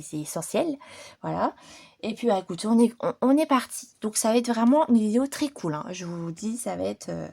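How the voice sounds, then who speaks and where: A woman chatting informally and unscripted to her viewers as a hobby channel host, in a relaxed, rambling manner. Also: medium pitch, gravelly, husky. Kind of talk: casual unscripted chat to viewers.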